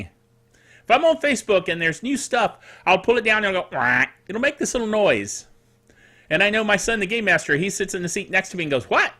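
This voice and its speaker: An older man with a high, bright voice hosts a casual podcast. His pitch swings widely as he leans on words and draws them out. He rambles off the cuff with playful, mock-grumpy asides and little vocal sound effects. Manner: animated, emphatic delivery with drawn-out words. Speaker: podcast host. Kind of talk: casual solo tech show, chatty and off the cuff. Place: small home studio.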